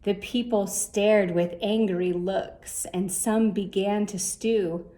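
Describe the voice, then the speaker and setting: A woman reading a rhyming children's story aloud in a measured, expressive way. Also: medium pitch. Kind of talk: scripted storybook narration in rhyme.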